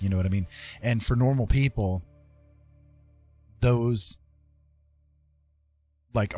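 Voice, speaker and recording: A man's voice: low-pitched, husky. A man hosting a livestream, chatting casually and telling personal stories in a rambling, informal way. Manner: rambling, informal, frequent "like" and "you know". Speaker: livestream host. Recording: soft music behind the voice.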